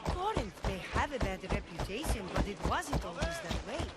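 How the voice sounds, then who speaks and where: A woman's voice: a little high, very animated, moderate pace. A woman playing a worried, devout and caring woman in a cinematic video game. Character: worried Greek woman, devout and caring toward her companions. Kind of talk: cinematic game dialogue acting. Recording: loud music behind the voice.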